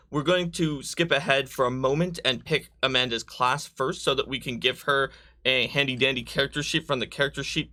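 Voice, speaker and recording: A man's voice: mid-range voice, sharp tone. A man co-hosting a live stream, casually explaining game rules to viewers.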